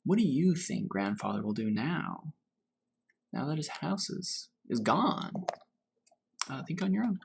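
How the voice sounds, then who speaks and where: Male read-aloud teacher for children's storybook, lively intonation with a gravelly, creaky edge, faint noise behind. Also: fairly high pitch. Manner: pauses to pose questions to young listeners. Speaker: teacher reading to fourth graders.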